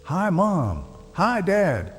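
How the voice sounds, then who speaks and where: Give this horror-story figure the sound of a bright young boy at summer camp, acted out by a man. He speaks up in pitch with cheerful, rehearsed confidence. The voice rings in a long reverb over faint music. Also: wildly swooping pitch, drawn-out words, heavily acted. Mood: bright, eager.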